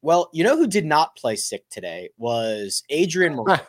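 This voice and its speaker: Male podcast host, fairly high voice, very expressive, playful, loose chat. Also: moderate pace. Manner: animated, jokey, some restarts. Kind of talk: casual sports podcast chat.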